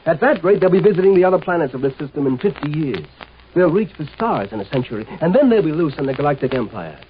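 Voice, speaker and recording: Through a tinny, hissing 1950s radio recording comes a blunt American military marshal. His delivery is commanding and sarcastic, with big swings in pitch, as in a science fiction serial. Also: very animated, fast talker. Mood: forceful. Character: gruff, sarcastic military marshal of a galactic empire. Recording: scratchy, noisy 1950s radio broadcast.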